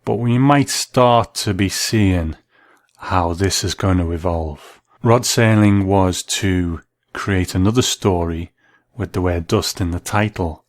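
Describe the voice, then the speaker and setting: A man's voice: low voice, slow pace, dry recording. A man hosting a podcast, delivering scripted documentary-style narration. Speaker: podcast host.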